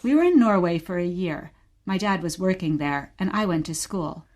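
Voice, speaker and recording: A woman acting a gentle, patient mother who tells stories of her childhood in a scripted radio play. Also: on the low side, lively intonation, moderate pace, close to an everyday voice. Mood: patient storytelling. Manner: patient, storytelling.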